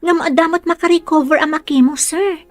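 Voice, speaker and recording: A gentle young caregiver in a radio serial. Her voice is high and she speaks respectfully and coaxingly, with music underneath. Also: steady tone, a little fast, dry recording. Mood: pleading, encouraging. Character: gentle, patient, pleading young female caregiver. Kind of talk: radio drama acting.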